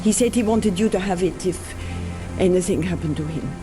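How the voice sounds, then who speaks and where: A woman's voice: on the low side, brisk, close to an everyday voice. An actress playing a stern, suspicious and reserved female academic in a video game cutscene. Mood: uneasy, reserved. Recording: loud music under the voice.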